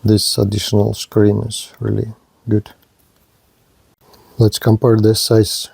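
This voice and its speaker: Slow, mellow man reviewing gadgets. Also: on the low side, completely flat delivery, vocal fry, husky, dry recording. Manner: halting, short plain phrases. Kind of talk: unboxing and product review narration.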